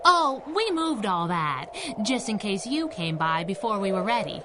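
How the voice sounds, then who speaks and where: A woman's voice: medium pitch, sing-song, normal speed, natural voice. An actress playing a mischievous female crimefighting sidekick in a 1930s-style radio drama, teasing and mock-innocent. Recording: loud background noise.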